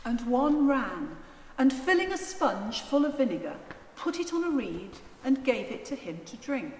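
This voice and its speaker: Slow, expressive woman reading scripture. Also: fairly high pitch. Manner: measured, deliberate. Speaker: scripture reader, narrator. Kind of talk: solemn dramatised Gospel narration. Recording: echoey church hall, faint noise.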